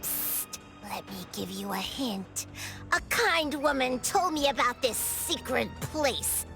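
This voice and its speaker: A woman's voice: high voice, lively intonation, slow pace, gravelly, fairly bright tone, soft background music, full character voice. A woman voicing a small, gleefully malicious shapeshifting teddy bear in a video game, theatrical and taunting in a childlike way. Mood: gloating, malicious. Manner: theatrical, taunting, speaks of itself in third person. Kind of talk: anime-style video game voice acting.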